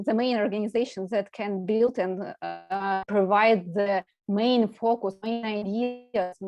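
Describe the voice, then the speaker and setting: A woman researcher answering a question on a video call, explaining in a halting way with fillers. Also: mid-range voice, dark tone, no room sound. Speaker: panelist researcher. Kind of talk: academic Q&A answer.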